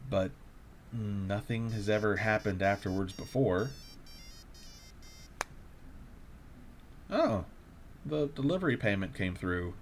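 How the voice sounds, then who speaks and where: A man's voice: lowish voice, a little slow, slight background noise. A man playing a shaken delivery courier, telling an eerie first-person account in a dramatic, confessional way for a horror audio drama. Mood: resigned, unsettled.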